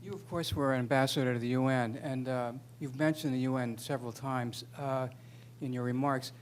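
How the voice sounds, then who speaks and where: A man in the audience asking a measured, polite question. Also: medium pitch, steady tone, a little hiss or hum.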